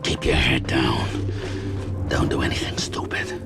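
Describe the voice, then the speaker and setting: An actor playing a big, cautious, steady mutant leader in a video game, with a gruff voice and sober, measured delivery. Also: male voice, exaggerated voice. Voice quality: deep, gruff. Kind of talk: video game character acting. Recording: loud music under the voice.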